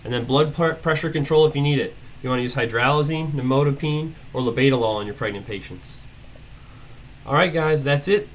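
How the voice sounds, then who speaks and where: A young adult man with a head cold presents an emergency medicine case and teaches it step by step, listing findings briskly. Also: medium pitch, slight background noise, poor audio. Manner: brisk, methodical, listing findings in short phrases.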